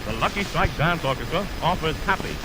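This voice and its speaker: A man's voice: on the high side, lively intonation, a little fast. An American man announcing on 1930s radio in a formal, polished advertising style, on a scratchy old broadcast recording. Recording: noisy, scratchy 1930 radio aircheck.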